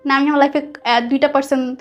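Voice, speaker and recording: High-pitched Bangladeshi woman storyteller. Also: little pitch movement, a little fast, lo-fi sound. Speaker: guest telling a personal story. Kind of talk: conversational personal storytelling. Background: soft background music.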